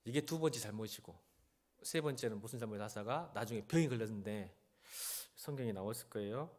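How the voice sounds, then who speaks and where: Male pastor, sermon delivery, highly animated pitch swings, conversational exhortation with pointed rhetorical questions. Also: brisk. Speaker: senior pastor. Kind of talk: church sermon with Bible reading.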